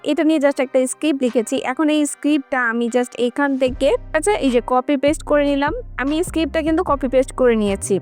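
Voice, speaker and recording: A woman giving a casual how-to tutorial, talking directly to her viewers step by step. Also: high voice. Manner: instructional, addressing viewers directly. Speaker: tutorial host.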